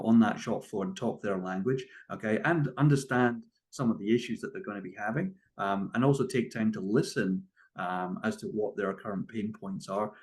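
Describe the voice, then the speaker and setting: A Scottish man with a fairly low voice speaks as a business founder being interviewed. He talks conversationally but with emphasis, repeating key points and checking in as he goes. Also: darkish tone. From Scotland. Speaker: guest, tech company founder and CEO.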